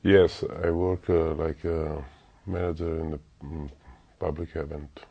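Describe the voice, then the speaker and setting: A man's voice: very deep voice, flat delivery, measured pace, slightly rough. A man from Italy with an Italian accent, a candidate in a speaking exam, answering hesitantly and searching for words. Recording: dull lo-fi recording.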